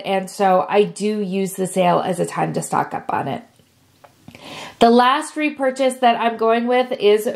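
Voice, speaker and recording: Sick woman with a rough, sniffly voice. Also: a little high. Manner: chatty and friendly, with frequent fillers. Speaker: beauty vlogger. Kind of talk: casual talk to camera.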